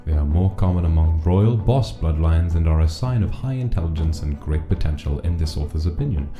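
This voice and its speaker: A man playing a kindly, patient old monster king, speaking gently and reassuringly in a comic dub. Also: very deep voice, expressive, a little fast, lo-fi sound. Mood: measured, pensive. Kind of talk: comic dub acting with reflective narration. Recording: roomy, clear music underneath.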